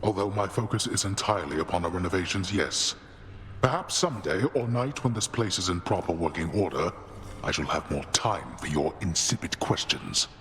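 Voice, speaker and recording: A man's voice: sits low, animated, moderate pace. A man voicing a stern, scornful god of the dead in a video game, speaking in formal, condescending phrases. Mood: dismissive. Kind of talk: theatrical video game voice acting. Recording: loud music behind the voice.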